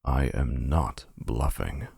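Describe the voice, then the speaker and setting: A man playing a gravelly, smug vampire flirt. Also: very deep voice, slow, a little mellow, dry recording, studio quality, exaggerated voice. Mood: scornful.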